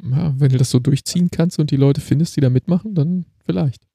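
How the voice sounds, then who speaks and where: A man co-hosting a podcast in casual chat, explaining in a measured way. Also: on the high side, gravelly, mellow, breathy edge, dry recording, clean studio sound. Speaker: podcast host.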